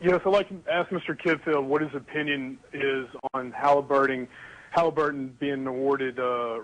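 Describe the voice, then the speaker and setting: Man, mid-pitched, phoning a show. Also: steady tone, normal speed, a bit bright, low-quality recording. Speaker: phone-in caller. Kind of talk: halting question on a phone call. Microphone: telephone.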